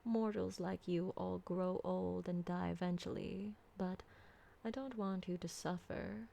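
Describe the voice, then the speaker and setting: A woman playing an ancient, refined and doting female vampire in an intimate ASMR roleplay, soft-spoken and tender, with genteel, formal wording. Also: on the low side, steady tone, measured pace, gravelly. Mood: troubled, tender.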